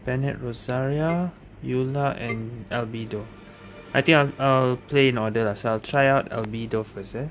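A man's voice: mid-range voice, moderate pace. A man giving casual gameplay commentary as a gaming host, dropping colloquial tags like lah and eh. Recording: game music behind the voice. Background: video game music.

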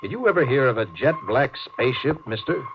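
A man's voice: mid-range voice, expressive, fairly quick, natural voice. Man acting stern spaceship captain, noisy old radio. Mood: dry, sardonic. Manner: clipped commands, brooding sarcasm. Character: stern, suspicious, sardonic male spaceship captain. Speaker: radio drama actor. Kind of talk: 1950s radio drama acting. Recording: noisy, dull 1950s radio recording.

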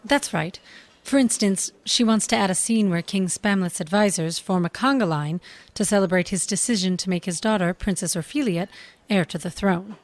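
An actress playing an earnest, polite young stage actress, articulate, in a comedy audio drama. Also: female voice, medium pitch, normal speed, no room sound, close to an everyday voice. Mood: earnest, thoughtful.

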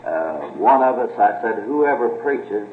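A man's voice: mid-range voice, animated, unhurried. A man speaking as a pastor, addressing his church informally in an earnest, wordy, deferential way. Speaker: pastor introducing a guest preacher. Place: church meeting room with congregation. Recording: muffled noisy old tape, echoey hall.

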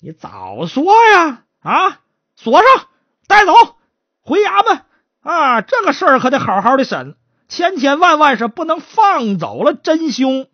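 Woman playing a barking, hot-tempered magistrate, high-pitched. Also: big pitch swings, moderate pace, no room sound, muffled recording. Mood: threatening, angry. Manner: barking, threatening, folksy interrogator. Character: bullying, hot-tempered county magistrate, a man.

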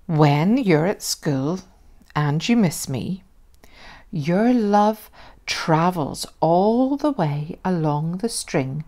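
Storybook mum voiced by a woman reader: reassuring, tender, lowish voice, drawn-out emphatic phrases. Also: expressive, dark tone, full character voice. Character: loving, reassuring young mother of twins.

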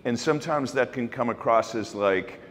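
A man's voice: mid-range voice, normal speed. A middle-aged man from South Africa with a South African accent, giving a casual keynote talk with certainty and lots of fillers. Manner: certain, blunt, many fillers and restarts. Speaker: keynote speaker. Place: large open office event space. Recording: long reverb in open room.

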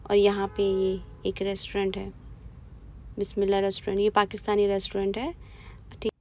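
A woman's voice: mid-range voice, completely flat delivery, a little fast, a little mellow. A woman casually chatting to camera in a walking vlog, pointing out places around her neighborhood as she goes. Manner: chatty, plainly pointing things out while walking. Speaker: vlogger. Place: outdoors on city streets. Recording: noisy street with traffic.

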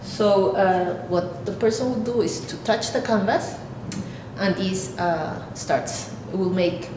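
A woman in her thirties from Peru with a Peruvian Spanish accent, an artist explaining her work haltingly, searching for words. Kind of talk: explaining own artwork to camera. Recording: echoey room, clear rumbling background noise.

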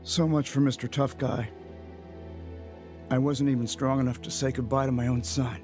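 A man's voice: mid-range voice, level tone, moderate pace, over music, natural voice. A man acting a guilt-ridden, guarded young father in an audio drama, confessional and macho. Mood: somber, reflective. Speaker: lead actor and narrator. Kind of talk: audio drama acting and first-person narration.

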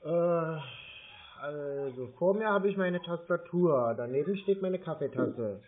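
A man chatting casually and hesitantly, rambling and slow to get to the point. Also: on the high side. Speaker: scam-baiting YouTuber playing a naive customer on the phone. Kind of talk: casual phone chat. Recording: narrow, tinny phone-like sound.

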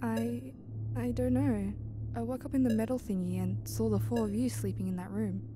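A woman acts out an innocent amnesiac girl in a spaceship audio drama. Her voice sits fairly high. She speaks hesitantly and naively, with music clearly behind her. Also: steady tone, moderate pace, natural voice. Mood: unsure, timid. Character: bewildered, innocent young female amnesiac stowaway.

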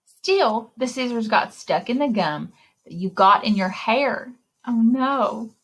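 A woman with a fairly high, slightly husky voice reads a children's picture book aloud. Her delivery is very animated and sing-song, full of exclamations. She talks straight to young listeners like a story time librarian. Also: slow. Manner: expressive, exclaiming, addressing young listeners directly. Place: indoor room, recorded screen share with webcam.

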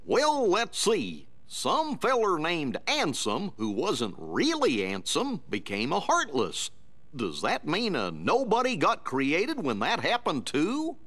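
A man's voice: on the high side, wildly swooping pitch, slow, a bit bright, heavily acted. A man voicing a goofy, kindly cartoon dog in a video game, with a drawling, bumbling, folksy delivery. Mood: puzzled.

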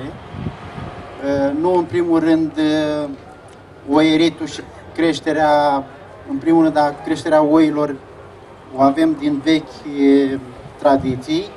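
Male official, slightly high voice, congratulatory address, noisy outdoor recording. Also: dark tone. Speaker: town hall representative. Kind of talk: short congratulatory speech. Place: open-air fairground stage. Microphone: distant camera, speech over loudspeakers. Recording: distant outdoor recording, clear noise.

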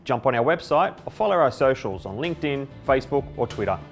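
A middle-aged Australian man speaks at a medium pitch as a company presenter. He delivers a scripted explainer to camera on a lapel mic in an office, with light music behind him. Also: lively intonation, fast talker. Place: indoor office by a window.

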